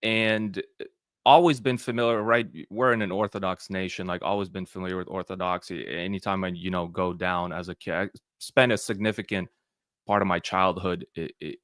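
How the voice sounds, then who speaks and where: A young man, telling his story in a relaxed interview. He rambles, often restarting his sentences and filling pauses. The sound is dry and close, with almost no room echo. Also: deep voice. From Western Ukraine. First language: Ukrainian. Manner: rambling, many restarts and fillers. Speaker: interview guest.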